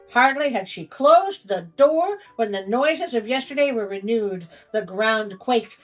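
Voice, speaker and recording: Slow, theatrical female storyteller. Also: fairly high pitch, rough recording. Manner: theatrical, measured. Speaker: storyteller and host. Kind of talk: dramatic story reading with wry asides. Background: music.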